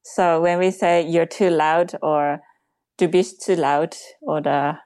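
A Japanese-accented woman speaking English as a second language, with a very low voice for a woman. She talks thoughtfully and earnestly. The recording is close and dry, with no room echo. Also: fairly even intonation, takes it slowly, a little mellow, smooth. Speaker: podcast guest, mother. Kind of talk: casual interview chat.

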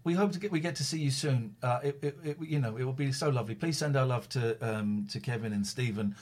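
A man's voice: medium pitch, quick pace, a little mellow. A man hosting a casual online chat, talking in an effusive, chatty way with playful asides. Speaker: host.

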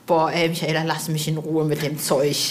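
A woman's voice: deep voice, level tone, moderate pace. A woman hosting a casual podcast interview in a playful, effusive and colloquial way. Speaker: podcast host.

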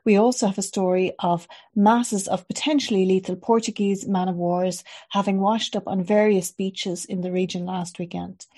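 A woman hosting a podcast, gently interviewing a guest and reading a news roundup. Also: mid-range voice, steady tone, normal speed, hardly any pauses. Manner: gentle, friendly, conversational. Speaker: podcast host.

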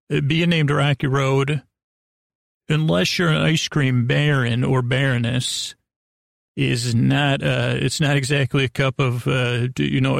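A man hosting a podcast with a silly improvised monologue to an imaginary guest, halting and stammering as he meanders and restarts in mock earnest. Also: medium pitch, vocal fry, dry recording, clean studio sound. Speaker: podcast host.

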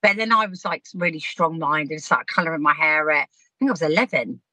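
Brief: woman, 50s, podcast guest, laughs through her stories, bright tone. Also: low-pitched, expressive, brisk, dry recording, low-quality recording. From Southampton, England. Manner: chatty, frank, laughs while telling stories. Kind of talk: casual storytelling chat.

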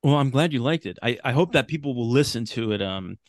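A man chatting casually as a podcast guest, halting and repeating words as he goes. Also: mid-range voice, animated, no room sound, studio quality. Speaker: podcast guest, writer of radio drama. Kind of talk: casual chat.

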